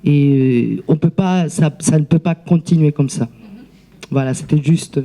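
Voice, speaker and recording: A woman speaks off the cuff in a seminar discussion, in a language that is not her mother tongue. Her voice is very mellow and dark. She proceeds haltingly, circling back and repeating words as she searches for the next one. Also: deep voice. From outside France, immigrant. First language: not French. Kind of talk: spontaneous remarks in a seminar debate.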